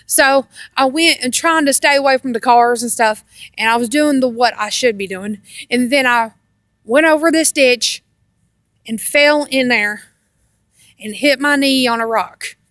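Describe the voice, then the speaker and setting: High-voiced woman telling rambling stories slowly. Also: a bit bright, no echo, studio quality. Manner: halting, meandering, repeats herself. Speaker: storyteller on own video channel. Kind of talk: casual rambling personal storytelling.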